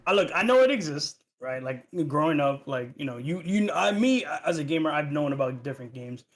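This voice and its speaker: Lively, loose-talking man hosting a podcast. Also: a little high, fairly quick. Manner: loose and profane, lots of fillers and restarts. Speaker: podcast host. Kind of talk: casual gaming podcast chat.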